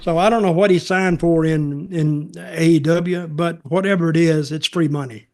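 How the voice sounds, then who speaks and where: A man's voice: a little high, fairly even intonation, moderate pace, darkish tone. A man co-hosting a podcast, giving casual opinions in a blunt, sarcastic, folksy way. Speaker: podcast co-host, wrestling commentator.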